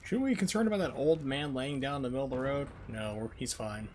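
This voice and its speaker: A man's voice: medium pitch, quick pace, a little mellow, slight background noise. A man streaming a video game with casual, chatty live commentary, explaining mechanics in asides.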